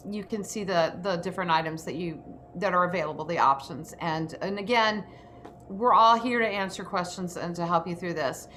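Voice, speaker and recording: Woman, sharp bright tone, emphatic enthusiastic host, informal online presentation, audible noise behind. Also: lowish voice. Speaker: host of an actors' workshop.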